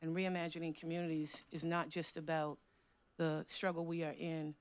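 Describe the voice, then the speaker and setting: A woman's voice: deep voice, level tone, normal speed, clear, resonant tone, no echo. A woman panelist speaking as an organizer, fervent and emphatic, repeating words for stress. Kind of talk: panel talk.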